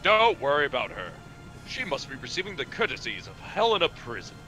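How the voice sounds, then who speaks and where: A man's voice: a little high, very animated, brisk, music in the background, pitched below the performer's own voice, poor audio. A man voicing a smug, villainous army commander in a game, pompous and taunting.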